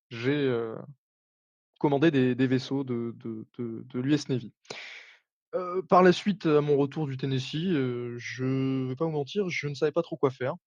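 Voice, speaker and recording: A man playing a retired navy commander turned politician, answering interview questions in a formal, halting way full of filler sounds. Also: medium pitch, a little mellow, dry recording, natural voice. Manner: formal, hesitant, frequent filler sounds and restarts. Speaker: interview guest. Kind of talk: roleplay interview. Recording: dull dry sound.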